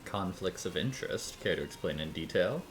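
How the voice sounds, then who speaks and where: A man's voice: sits low, animated, normal speed, background noise. A man casually commenting while playing a story game, with dry offhand asides. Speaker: streamer.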